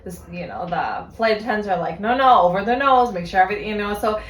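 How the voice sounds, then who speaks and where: Female podcast co-host, 30s, loose and profane, middle pitch, roomy sound. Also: expressive, fast talker. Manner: chatty, swears freely, lots of fillers and laughter. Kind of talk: casual podcast chat. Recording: echoey room.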